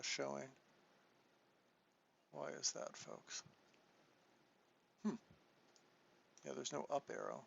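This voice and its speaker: A man teaching a live online chess lesson, soft-spoken and thinking aloud as he walks through moves, with frequent restarts. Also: medium pitch, vocal fry, highs cut off, a little hiss or hum. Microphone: desktop microphone.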